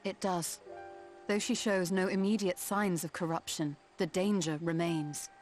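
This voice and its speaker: A woman playing a calm, formal, scholarly woman in a fantasy video game, speaking in a measured, grave way. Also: fairly low pitch, echoey room, in a large hall, faint music behind, close to an everyday voice. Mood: grave, thoughtful. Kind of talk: dramatic video game cutscene acting.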